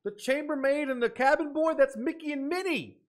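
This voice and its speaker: A man in his thirties giving off-the-cuff reaction commentary, thinking aloud in an excitable but musing way. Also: very high voice, a little fast. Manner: excitable, exclaiming, dropping to musing asides. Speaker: host of a reaction video. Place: small indoor room at a desk.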